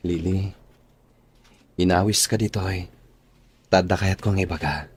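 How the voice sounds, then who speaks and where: An actor playing an earnest, tender young man in an Ilocano radio drama, speaking sincerely and deliberately with an Ilocano accent. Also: deep voice, breathy edge. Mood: tender, pleading.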